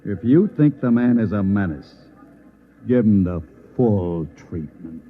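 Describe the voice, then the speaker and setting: An actor playing a hard-nosed, suspicious newspaper boss in an old-time radio drama, curt, skeptical and sardonic. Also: male voice, lowish voice, expressive, a little slow. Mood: cold, decisive. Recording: dull, noisy vintage radio recording.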